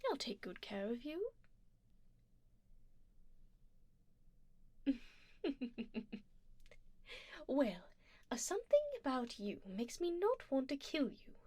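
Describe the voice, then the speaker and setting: A woman's voice: fairly high pitch, sing-song, slow pace, a little mellow, no echo, full character voice. A woman playing a haughty, doting vampire duchess in an intimate ASMR roleplay, imperious and refined, often slipping toward a whisper. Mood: doting, predatory. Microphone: close binaural microphone.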